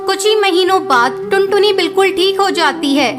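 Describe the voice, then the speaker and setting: A woman's voice: extremely high pitch, animated, normal speed. A woman narrating a scripted children's cartoon story. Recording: loud music behind voice.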